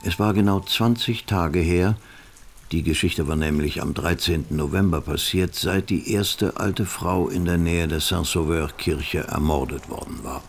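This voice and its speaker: An actor as the measured, matter-of-fact narrator of a radio murder story. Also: male voice, deep voice, gravelly, slight background noise. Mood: measured, ominous. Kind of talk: scripted radio play narration.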